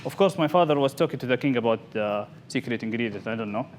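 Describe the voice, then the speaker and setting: A man giving a theatrical storytelling speech to an audience, speaking dramatically in short, deliberate phrases with pauses. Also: medium pitch, animated. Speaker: contest speaker. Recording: noisy live event recording.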